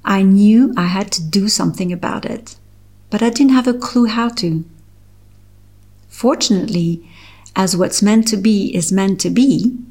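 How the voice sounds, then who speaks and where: A middle-aged woman with a French accent, a French native speaker from Switzerland, reading her own personal essay aloud in a slow, measured, earnest way. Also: medium pitch, darkish tone. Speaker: author reading her article.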